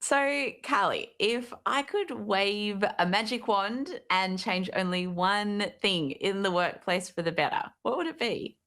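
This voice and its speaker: A woman's voice: medium pitch, a little slow, few pauses, fairly bright tone. A woman moderating a panel discussion, putting upbeat, inviting questions to each speaker in turn.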